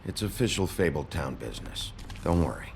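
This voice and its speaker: An actor playing a gruff, weary, terse sheriff detective with a gravelly voice in a video game. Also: male voice, low-pitched, animated, brisk, slight background noise. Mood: gruff, businesslike. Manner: terse, blunt. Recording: music behind the voice.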